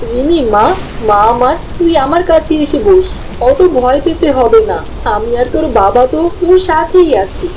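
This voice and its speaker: A woman's voice: high voice, lively intonation, normal speed, darkish tone, low-quality recording. A woman voicing a worried, caring village mother in a cartoon, fretful and affectionate. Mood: comforting. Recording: storm and rain noise behind voice.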